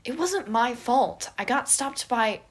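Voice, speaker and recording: A woman voicing a guarded, weary younger man with a dry, low-key manner in an audio story. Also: animated, slow, slightly rough, exaggerated voice. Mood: defensive. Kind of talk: fan fiction audio story character.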